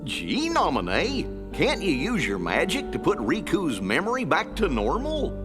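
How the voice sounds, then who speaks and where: A goofy, loyal cartoon animal for a video game, with music behind. The voice is high and swoops wildly, folksy and good-natured in its bumbling way. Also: male voice, huge pitch swings, a little slow. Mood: concerned.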